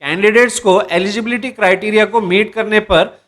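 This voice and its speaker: A man's voice: high-pitched, steady tone, normal speed, fairly bright tone. A man presenting a job recruitment update to viewers, addressing them directly and sometimes restarting as he reads out details. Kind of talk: explainer reading job details.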